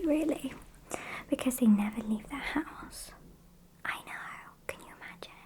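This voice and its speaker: A British woman voicing a sassy, politely menacing vampire kidnapper in a breathy, deadpan ASMR whisper. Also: on the high side, a little hiss or hum. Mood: dry teasing. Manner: unhurried, chatty, deadpan.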